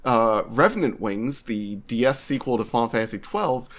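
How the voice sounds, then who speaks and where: A man co-hosting a podcast in casual discussion, speaking in a measured, halting way as he thinks aloud. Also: mid-range voice, expressive, fairly bright tone. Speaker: podcast co-host.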